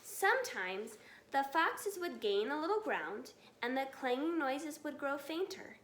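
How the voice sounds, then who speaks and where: A woman reading a children's storybook aloud expressively and deliberately, in a roomy, echoing space. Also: high-pitched, very animated, a bit bright, a little hiss or hum. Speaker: storybook reader.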